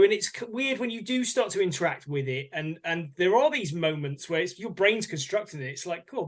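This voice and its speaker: A man co-hosting a podcast thinks aloud in a loose, hesitant way, often repeating words. His voice sits a little higher than most men's. He sounds relaxed and conversational. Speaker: podcast co-host. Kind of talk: casual chat.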